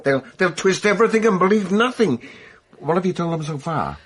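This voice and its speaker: A man's voice: high-pitched, expressive, fairly quick, a bit bright, husky, exaggerated voice. An actor playing a protective, soothing man who persuades and schemes in a radio mystery. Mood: urgent, persuasive. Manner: reassuring, hesitant, persuasive. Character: protective, soothing male friend, quietly scheming. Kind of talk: radio mystery drama acting.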